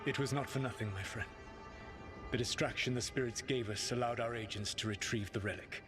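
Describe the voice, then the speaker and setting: An actor playing a grave, commanding ancient elven mage in a video game, with formal, deliberate phrasing. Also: male voice, medium pitch, close to an everyday voice. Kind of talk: dramatic video game voice acting. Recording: loud music behind the voice.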